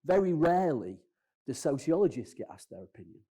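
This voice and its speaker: A middle-aged man with a loud voice, a professor giving chatty welcoming remarks into a mic at a university lecture. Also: fairly high pitch, very animated, brisk, breathy edge. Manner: loud voice, self-aware and chatty. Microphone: mic'd up.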